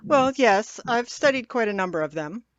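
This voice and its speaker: A woman's voice: a little high, expressive, normal speed, dry recording, highs cut off, natural voice. A woman playing a wry, chatty, knowledgeable English professor in a radio drama. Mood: wry relish. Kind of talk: old-time radio drama acting.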